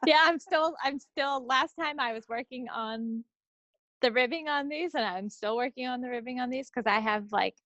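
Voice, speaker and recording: A woman's voice: high voice, normal speed, a bit bright, no room sound, dull audio. A woman co-hosting a craft podcast, chatting casually with easygoing, hesitant phrasing. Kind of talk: casual chat about projects. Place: recorded at own end of a remote video call.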